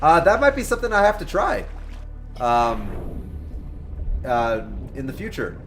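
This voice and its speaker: Lively joking guy streaming a game. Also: on the high side, measured pace, faint music behind. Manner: animated, joking, talks to chat by name. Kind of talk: casual livestream commentary, chatting with viewers.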